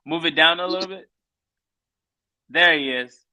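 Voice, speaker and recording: A man's voice: fairly high pitch, measured pace, bright, forceful tone, low-quality recording. A man from Nigeria with a Nigerian accent, a Yoruba speaker, co-hosting a podcast in casual chat with short agreeing replies and laughter. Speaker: podcast co-host.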